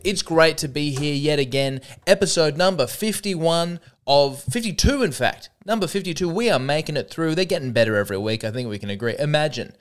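Sarcastic man with a lively voice. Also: fairly high pitch, brisk, few pauses. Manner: sarcastic, rambling, self-deprecating. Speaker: comedian and podcast host. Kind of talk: solo comedic podcast monologue.

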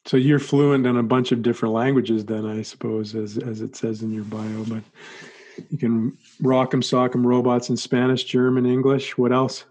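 A man hosting an interview, introducing his guest and asking rambling, halting questions. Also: mid-range voice, level tone, darkish tone. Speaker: podcast host.

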